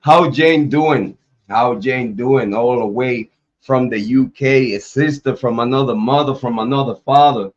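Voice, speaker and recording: A man's voice: mid-range voice, dull audio. A man hosting a casual livestream. He talks loosely, repeats himself and laughs often. His voice is still recovering from an illness. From Ohio, US. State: recovering from illness, voice coming back. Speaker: YouTube true crime host.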